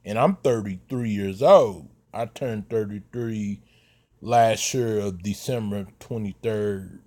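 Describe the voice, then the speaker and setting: Slow, halting man in his thirties. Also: fairly low pitch, a little mellow. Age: 30s. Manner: slow, halting, many fillers and restarts. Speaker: vlogger giving commentary. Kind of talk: casual commentary.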